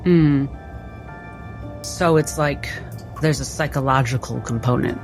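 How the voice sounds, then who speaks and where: Creaky-voiced woman playing a tabletop game. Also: rumbling low, takes it slowly, a little mellow, faint music behind. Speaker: player in a tabletop roleplaying game. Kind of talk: casual game table talk.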